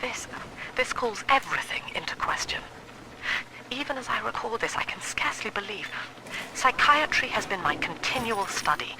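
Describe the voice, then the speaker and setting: An actress playing a cold, cerebral middle-aged psychiatrist and cult leader in a video game, speaking in a formal, precise, solemn manner. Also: female voice, medium pitch, noisy background. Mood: hushed, awed. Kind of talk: dramatic video game monologue.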